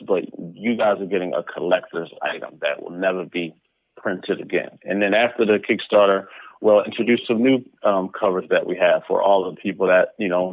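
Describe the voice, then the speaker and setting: Over a tinny, phone-like connection, a man speaks in a fairly low voice. He answers interview questions casually and conversationally, with a dry sound free of room echo. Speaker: interview guest, comic book creator. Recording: narrow, tinny phone-like line.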